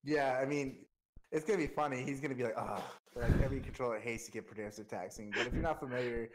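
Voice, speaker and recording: Medium-pitched man coaching informally. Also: fast talker, a little hiss or hum. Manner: informal coaching, explains with examples. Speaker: air traffic controller coaching a flight sim player. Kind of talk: casual explanatory chat.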